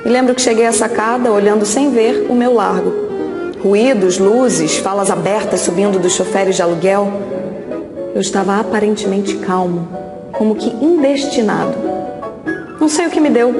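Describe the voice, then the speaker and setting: In a big echoey hall with clear violin music, a Brazilian woman gives a dramatic literary reading. Her pitch is medium for a woman. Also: moderate pace.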